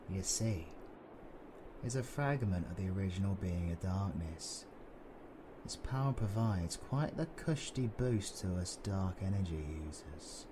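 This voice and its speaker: A British man playing a cynical, sardonic supervillain with dark powers in an audio roleplay, sweary, mocking and brooding. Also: low voice, background noise.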